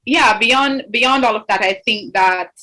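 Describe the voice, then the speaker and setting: A woman's voice: fairly high pitch, measured pace, bright, forceful tone, rough recording. Young woman from Jamaica, interview guest, earnest and thoughtful, lots of "you know" fillers. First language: English. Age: 20s. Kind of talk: interview conversation.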